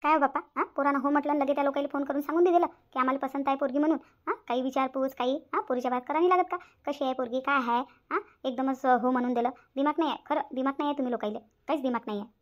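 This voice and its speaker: A woman's voice: sits high, racing, hardly any pauses, natural voice. A woman voicing a fussy, grumbling village mother. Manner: complaining, nagging. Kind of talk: cartoon voice acting. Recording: dull, lo-fi sound.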